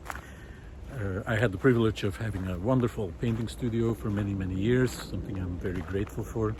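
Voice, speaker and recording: A man speaking as a vlogger in a reflective, unscripted monologue outdoors, with earnest, halting, hesitant phrasing. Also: on the low side. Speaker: vlogger, former visual artist. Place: outdoors in a park. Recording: outdoor ambience with clear traffic noise.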